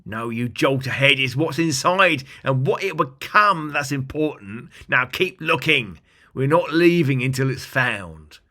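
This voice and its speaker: A man's voice: mid-range voice, animated, measured pace, sharp tone. A man voicing a big, bossy, impatient goblin leader who scolds and gives orders in a storybook tale. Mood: angry, bossy. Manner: scolding, commanding.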